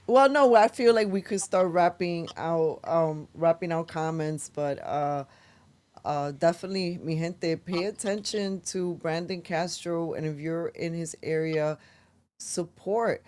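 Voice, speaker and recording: Lady host on a livestream, lowish voice, chatty, lots of ums. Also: unhurried, darkish tone. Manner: chatty, laughs easily, trails into fillers. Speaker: podcast host. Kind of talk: casual livestream chat.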